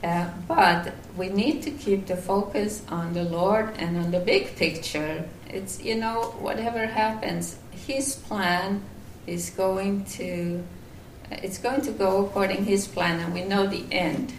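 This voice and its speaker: A woman from Finland with a Finnish accent, giving a devotional talk at a retreat, halting with many fillers and restarts. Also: medium pitch, fairly even intonation, reverberant, far from the mic, a little hiss or hum, poor audio. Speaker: retreat speaker.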